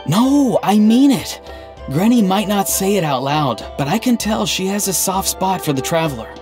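An actor playing an earnest, odd, melancholy young man in a video game, speaking in a halting, stiffly sincere deadpan. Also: high-pitched. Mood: reassuring. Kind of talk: video game dialogue acting. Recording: game music underneath. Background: background game music.

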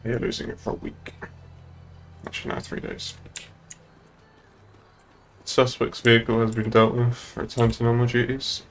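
A man's voice: medium pitch, monotone, moderate pace, pauses often, soft background music. A young British man chatting casually and laddishly on a gaming livestream. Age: young adult. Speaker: game streamer. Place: indoor room at a streaming desk.

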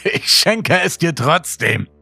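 A man voicing an eerily cheerful ragged stranger in a horror story, with a drawn-out, sugary delivery. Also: on the high side, very animated, vocal fry, sharp tone, breathy edge, dry recording, soft background music, voice pushed up, full character voice. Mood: coaxing, cheerful.

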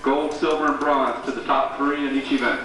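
A man's voice: medium pitch, steady tone, crowd noise. Distant echoing PA announcer man. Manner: measured, announcing. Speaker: meet announcer. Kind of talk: public address announcements. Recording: distant PA speaker echoing outdoors.

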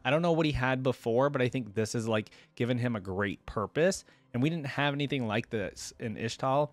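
A man's voice: mid-range voice, dry recording. A man, hosting a reaction video with casual commentary to his viewers. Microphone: close desk microphone.

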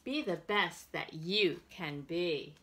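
A middle-aged American woman hosting a children's storytime, reading aloud and explaining in an expressive, deliberate way for young listeners. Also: fairly low pitch, slight background noise. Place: indoors, plain-walled room.

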